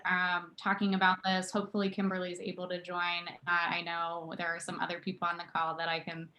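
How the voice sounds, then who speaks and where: A woman opens and steers an online panel discussion in a somewhat low voice. She talks casually, with plenty of ums, and corrects herself as she goes. The sound is that of a video call. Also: completely flat delivery, fairly quick, muffled recording, a little hiss or hum. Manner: casual, many "um" fillers, self-correcting. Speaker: talk show host.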